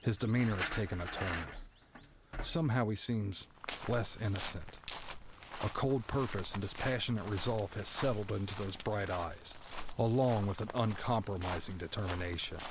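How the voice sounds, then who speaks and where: Man acting a bitter doomed convict telling his story, lowish brooding voice, noisy clicky recording. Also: lightly acted. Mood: bitter, resigned. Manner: grim, brooding, deliberate dramatic phrasing. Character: bitter, doomed male prisoner narrating his fate. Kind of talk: first-person audio drama narration.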